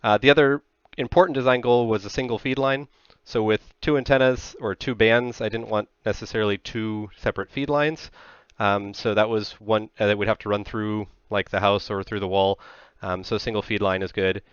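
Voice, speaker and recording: Technical presenter, American male, 30s, measured explainer delivery, dry close headset sound with slightly muffled top end. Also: fairly low pitch, fairly even intonation, clear, resonant tone, no room sound.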